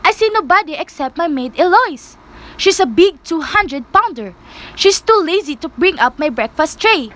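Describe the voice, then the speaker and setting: A woman's voice: very high voice, animated, normal speed, fairly bright tone. A woman playing a nervous, demanding bedridden wife in an amateur radio play, fretful and melodramatic. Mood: plaintive. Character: nervous, demanding bedridden invalid wife, shrill and self-pitying.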